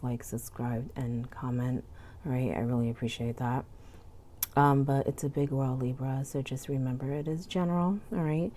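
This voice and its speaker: Very low-voiced hoarse woman reading tarot. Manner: relaxed, chatty, frequent "okay?" and "you know". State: stuffy and hoarse from illness. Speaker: tarot reader. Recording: faint background noise.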